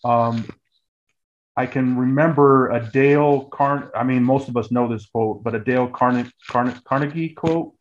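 A man's voice: medium pitch, fairly even intonation, unhurried, lo-fi sound, slight background noise. An American man in his early forties telling his story in a casual, conversational way, often restarting and repeating phrases mid-thought. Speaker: guest, real estate agent.

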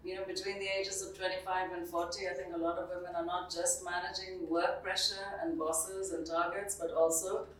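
A middle-aged woman with a British accent, speaking as an interview guest in a measured, composed, slightly formal way. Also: fairly low pitch, level tone, a little hiss or hum. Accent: British English. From born in Zambia, schooled in the UK. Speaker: guest, dean and professor. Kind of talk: interview conversation. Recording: roomy, distant mic with faint ambience.

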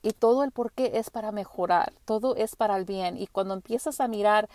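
Woman with English as her first language, podcast host, hesitant, gentle, dry close sound. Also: mid-range voice, a little fast, dry recording. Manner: hesitant, frequent restarts and fillers. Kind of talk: casual spiritual monologue.

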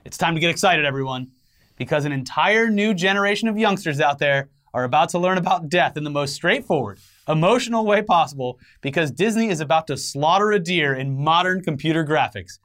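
A man with a slightly high voice delivers entertainment news as a co-host. His commentary is casual and comedic, with a sarcastic, irreverent edge and loose swearing. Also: a little fast, a bit bright.

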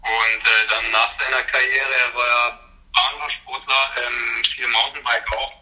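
A man's voice: mid-range voice, flat delivery, measured pace, pushed and bright. A man in his thirties answering interview questions casually, with many hesitations and fillers, heard over a phone line. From Germany. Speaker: interview guest, pro cyclist.

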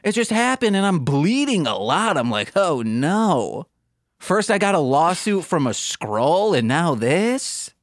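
A man's voice: a little high, big pitch swings, measured pace, no echo, clean studio sound. A man co-hosting a comedy podcast, riffing casually in a goofy, rambling storytelling way. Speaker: podcast co-host.

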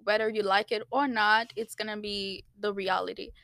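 A woman's voice: mid-range voice, fairly even intonation, normal speed, vocal fry, fairly bright tone, no room sound, clean studio sound. A woman in her twenties from Haiti, co-hosting a podcast in a casual, blunt, matter-of-fact chat. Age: 20s. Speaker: podcast co-host.

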